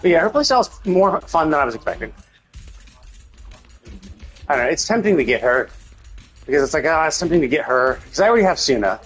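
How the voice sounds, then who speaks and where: A man with a husky voice giving casual, rambling gaming commentary as a live streamer, swearing freely. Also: a little high, sing-song, fast talker, long pauses, a bit bright, soft background music.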